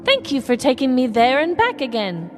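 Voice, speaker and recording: A man voicing an earnest, devoted hobbit woman in an exaggerated, drawn-out falsetto for a comic fantasy story. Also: huge pitch swings, heavily acted. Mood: grateful.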